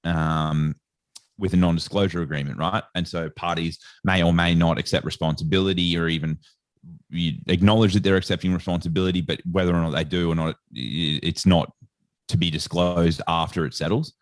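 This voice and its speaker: A man's voice: sits low, fairly even intonation, a little fast, no echo, clean studio sound. An Australian man talking as a podcast guest in a casual, rambling way, full of "like" and "sort of.". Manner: rambling storyteller, heavy on "like" and "sort of". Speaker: guest, sports nutritionist.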